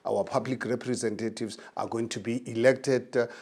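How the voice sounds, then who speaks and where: A middle-aged South African man, a party leader, answering debate questions in an earnest, halting way with many pauses. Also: mid-range voice, fairly even intonation, darkish tone.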